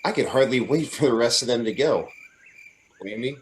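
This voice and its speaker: A man's voice: medium pitch, moderate pace, close to an everyday voice. A man playing an adoring, playful young fiancé in an old-time radio drama, sweet-talking and coaxing. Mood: happy, tender.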